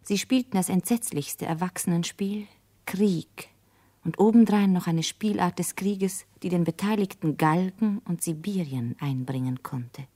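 A woman's voice: lowish voice, a little slow, warm tone. An actress playing a woman who looks back on her anxious youth, earnest and intimate, in a literary radio play. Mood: sorrowful.

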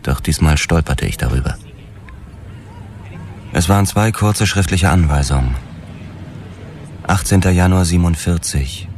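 Tiefer, düsterer Noir-Erzähler, ein Ermittler. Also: male voice, deep voice, measured pace, pauses often, a little hiss or hum. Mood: brooding. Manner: terse, literary storytelling. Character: weary, obsessed male police detective, narrating his story. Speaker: first-person narrator. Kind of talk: noir radio drama narration.

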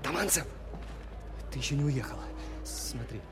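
An actor playing an earnest young army operative, the junior partner, in a radio play. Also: male voice, medium pitch, animated, moderate pace, over music, lightly acted. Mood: urgent. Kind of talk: Soviet radio play acting.

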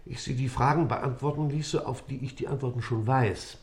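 An actor playing an aging, methodical judge in a radio play, questioning formally and deliberately, halting at times. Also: male voice, medium pitch, steady tone, lightly acted. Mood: formal, probing. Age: older. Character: aging, methodical judge interrogating an accused man. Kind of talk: German radio play acting.

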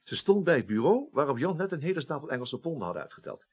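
An actor playing an evasive office superior under questioning in a Dutch radio play, hesitant, rambling and defensive. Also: male voice, on the high side, big pitch swings, fast talker, breathy edge. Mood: hesitant recalling.

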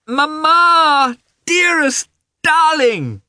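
A man voicing a small, affectionate, excitable boy in an audiobook, with eager, childlike exclamations. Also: a little high, unhurried, dry recording, clean studio sound, voice pushed up, exaggerated voice. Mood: excited, loving. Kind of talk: audiobook character voice.

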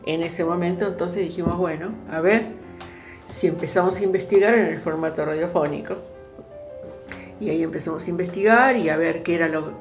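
An older Argentine woman speaks in an interview over clearly audible music. Her voice is fairly low, and she recounts things reflectively, often pausing to search for the right word. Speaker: interviewee, social worker recalling past projects. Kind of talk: conversational oral-history interview.

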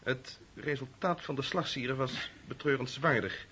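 A deferential military officer in a radio drama. His voice is bright and forceful, of medium pitch. He is formal and careful, with faint noise behind him. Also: unhurried. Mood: respectful, uneasy. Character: deferential, formal French colonel reporting to his emperor.

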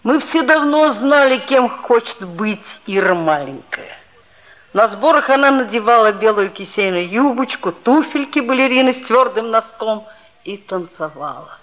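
An actress playing an earnest, mischievous schoolboy who narrates a radio play in a mock-serious, expressive way. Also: female voice, medium pitch, unhurried, natural voice. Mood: wry storytelling. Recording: tinny vintage 1960s radio recording.

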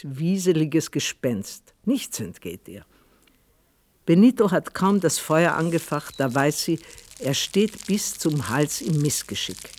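A man's voice: a little high, a little mellow. A man narrating a literary radio drama in a scripted storytelling style.

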